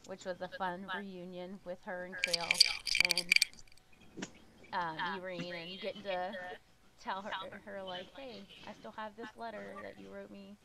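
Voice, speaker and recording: A woman's voice: mid-range voice, little pitch movement, measured pace, vocal fry. A woman chatting casually as she leads an informal group book discussion, recapping a story and laughing readily. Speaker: book club discussion host. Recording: noisy audio with clicks.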